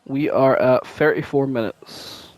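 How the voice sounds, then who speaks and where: A man's voice: medium pitch, unhurried, no room sound. A man, probably in his twenties, chatting casually and informally with plenty of swearing as a podcast co-host. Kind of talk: casual chat.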